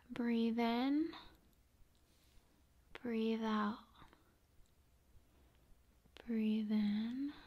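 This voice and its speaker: A woman speaking softly and often in a whisper, in an intimate, soothing ASMR style addressed to the viewer. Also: on the high side, dull audio. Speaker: ASMR video host.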